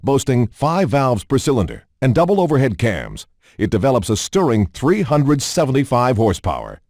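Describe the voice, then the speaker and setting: A man reading scripted promotional narration about cars in a polished, measured announcer manner, as in a video game showcase. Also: medium pitch, wildly swooping pitch, a little mellow, no room sound, clean studio sound. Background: music.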